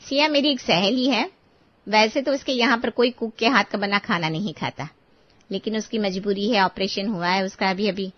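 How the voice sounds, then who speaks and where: A woman's voice: mid-range voice, moderate pace, no echo, dull audio, close to an everyday voice. Woman acting a kind lady employer, dry sound. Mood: reassuring, persuasive. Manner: reassuring, affectionate, polite. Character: kind, appreciative lady of the house, caring employer.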